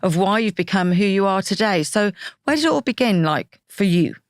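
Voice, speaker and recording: A woman's voice: medium pitch, expressive, fairly quick, no echo, clean studio sound. A woman with an Irish accent hosting an interview podcast, friendly and encouraging, with plenty of fillers. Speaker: podcast host. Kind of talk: casual interview chat.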